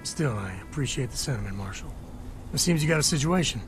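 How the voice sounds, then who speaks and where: An actor playing a wry, world-weary space cowboy in a video game, laconic and folksy. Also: male voice, medium pitch, lively intonation, fast, faint music behind, close to an everyday voice. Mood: dry, resigned.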